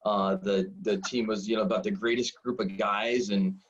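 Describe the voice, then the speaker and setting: A man in his forties, a high school football coach, telling stories in a rambling, self-deprecating way full of fillers. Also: steady tone, normal speed. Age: 40s. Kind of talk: casual storytelling interview. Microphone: video call. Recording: dull lo-fi video call audio.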